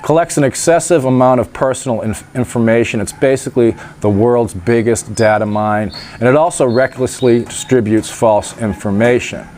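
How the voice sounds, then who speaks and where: A man's voice: medium pitch, moderate pace, few pauses, darkish tone. A man talks straight to the camera outdoors, his voice a little nasal and stuffed up. He rambles in a chatty way, dropping wry asides, with a faint hiss of noise behind him. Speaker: vlogger. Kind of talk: casual vlog talk to camera. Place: outdoors in sunshine by a gate.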